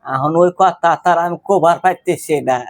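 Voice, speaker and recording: A man's voice: fairly high pitch, moderate pace, natural voice. A man playing a fretful grandma. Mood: pleading. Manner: pleading, rambling and plaintive. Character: anxious old village grandmother fretting over her grandson. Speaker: prank caller. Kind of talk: prank phone call.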